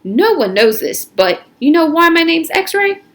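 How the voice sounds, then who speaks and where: Woman reading as a persuasive, lively teenage gang leader. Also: very high-pitched, a little slow, pitched above the performer's own voice, heavily acted. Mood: coaxing, confiding. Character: teenage boy gang leader, coaxing and self-serving.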